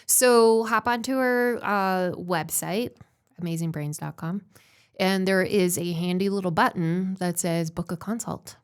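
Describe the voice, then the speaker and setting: A woman's voice: on the low side, measured pace, smooth. A woman from Canada with a mixed German and Canadian accent, explaining brain mapping in an upbeat, practical way as a podcast guest. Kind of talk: casual interview answers.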